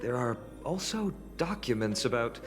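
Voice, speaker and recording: Man voicing a thoughtful, expressive young male adventurer. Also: medium pitch, moderate pace, close to an everyday voice. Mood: curious. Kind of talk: scripted video game character acting. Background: background music.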